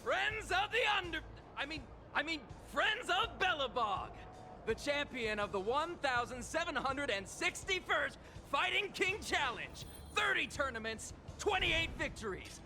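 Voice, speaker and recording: A man voicing a showy fight-club announcer in a game, shouting out the champion's titles in a drawn-out, hyped way. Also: extremely high pitch, sing-song, soft background music, heavily acted.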